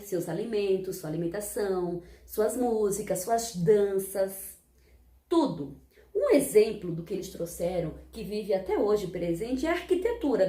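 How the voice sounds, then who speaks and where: Woman teaching children, mellow voice. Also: medium pitch, roomy sound. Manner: patient, explanatory, asks the class rhetorical questions. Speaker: primary school teacher. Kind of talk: recorded history lesson for children.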